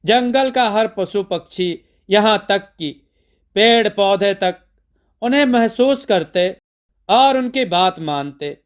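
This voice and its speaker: A man narrating a scripted mythological tale as a storyteller, in measured, deliberate phrases with pauses. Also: sits high, expressive.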